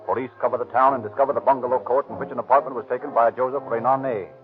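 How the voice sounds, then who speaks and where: A man's voice: mid-range voice, steady tone, very fast. A man giving formal, dramatic scripted narration for a 1930s radio drama. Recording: tinny 1933 radio recording with music.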